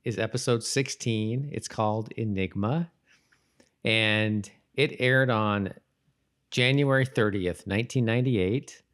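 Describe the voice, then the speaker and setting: A man co-hosting a podcast in a casual, chatty style, often halting and rephrasing as he goes. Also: on the low side, a bit bright. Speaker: podcast host. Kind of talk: casual co-hosted chat about a TV show.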